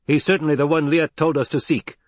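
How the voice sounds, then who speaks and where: Guy doing a stern desert tribe boss for an audiobook, formal n commanding, pitched up, really declaims. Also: level tone, brisk, dry recording, lightly acted. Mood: firm, declaring.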